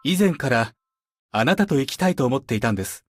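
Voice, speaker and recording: A man acts out a polite demon butler in a video game. His medium-pitched voice moves quickly, composed and gracious, with formal, attentive courtesy. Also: lively intonation, lightly acted. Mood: gracious, pleased. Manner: formal, courteous, softly inviting. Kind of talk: Japanese game character voice lines.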